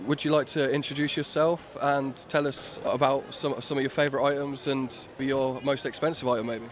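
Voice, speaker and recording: A man's voice: mid-range voice, little pitch movement, moderate pace. A man interviewing people at a convention, polite and brief, thanking each guest. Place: busy convention hall.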